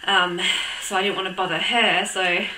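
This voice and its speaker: A young woman with a croaky voice from a cold, chatting casually to camera with lots of ums and little laughs. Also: medium pitch, steady tone, moderate pace, bright, forceful tone, echoey room, a little hiss or hum, rough recording. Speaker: vlogger. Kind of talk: casual chat.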